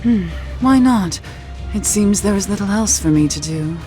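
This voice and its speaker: A woman's voice: medium pitch, lively intonation, moderate pace, warm tone, faint music behind, lightly acted. An actress playing a proud, wary witch in a video game, with formal, arch and sardonic phrasing. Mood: wary, curious. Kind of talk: scripted video game acting.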